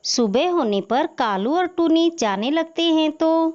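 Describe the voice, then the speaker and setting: Casting: female narrator, scripted cartoon storytelling, slow pace, slightly dull recording. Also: sits high, animated, a little mellow.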